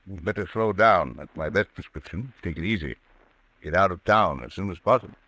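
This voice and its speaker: An actor playing a concerned, reassuring middle-aged gentleman in 1940s radio style, placating and old-fashioned in manner. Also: deep voice, animated, a little fast, no room sound, heavily acted, poor audio. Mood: concerned, soothing. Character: concerned, reassuring male doctor and friend. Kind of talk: 1940s radio suspense acting. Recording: dry vintage radio drama recording.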